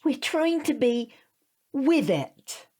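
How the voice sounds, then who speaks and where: A British woman playing a hapless, gently disapproving middle-aged mother in a comic audio drama, earnest and hesitant. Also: high voice, big pitch swings, slow, slightly rough, heavily acted.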